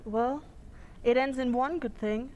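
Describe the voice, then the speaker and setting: Woman playing very high-voiced radio heroine. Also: a little slow, a little mellow, long reverb tail, muffled recording. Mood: calm, inquisitive. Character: hero's loyal female companion, earnest and anxious. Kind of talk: old-time radio drama acting.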